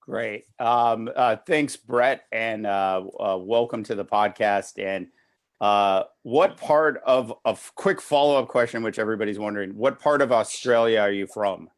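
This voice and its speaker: A man with a fairly low voice moderates an unscripted podcast. He speaks haltingly, with many fillers, and is recorded close and dry. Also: no room sound.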